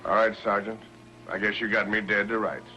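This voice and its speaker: A man's voice: lowish voice, little pitch movement, moderate pace, sharp tone, soft background music. A man playing a sly outlaw who poses as a folksy trapper in a 1950s television western. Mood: smug. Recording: dull vintage television soundtrack.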